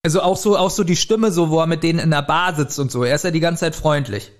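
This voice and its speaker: Male podcast co-host, slightly high-pitched, informal review chat, quick to chuckle. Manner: chuckles often while talking. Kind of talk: casual chat reviewing an audio drama.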